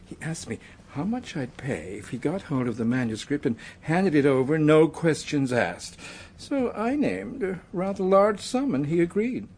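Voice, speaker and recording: A man's voice: on the high side, sing-song, normal speed, darkish tone, a little hiss or hum. An actor in a radio drama playing an indignant, frightened man who defends himself emphatically under police questioning. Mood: defensive, nervous.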